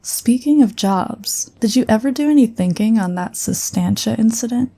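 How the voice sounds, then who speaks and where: A woman's voice: fairly high pitch, animated, moderate pace, warm tone, slightly rough. A woman playing an earnest, loyal female psion colleague who speaks directly. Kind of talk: fan audio drama acting.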